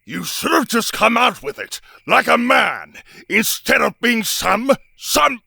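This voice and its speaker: A man's voice: very animated, gravelly, sharp tone, slight background noise, exaggerated voice. A man voicing a proud, hot-tempered king and father, sarcastic and menacing, in a fan comic dub. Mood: stern. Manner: sarcastic, menacing, swinging from slow to booming.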